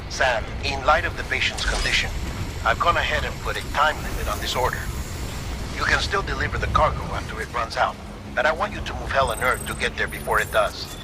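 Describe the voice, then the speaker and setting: Actor as a firm commander over background noise. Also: male voice, medium pitch, brisk, natural voice. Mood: firm, reassuring. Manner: authoritative, persuasive. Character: firm, reassuring commander briefing a courier. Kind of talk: game cutscene briefing.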